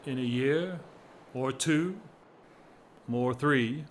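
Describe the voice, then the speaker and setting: An actor playing a weary, lovelorn upper-class gentleman in a period audio drama, speaking with formal, wistful wryness. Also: medium pitch, a little hiss or hum. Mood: restrained, wistful. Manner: formal, wistful, wry, measured speech. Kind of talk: stage-style period radio drama acting.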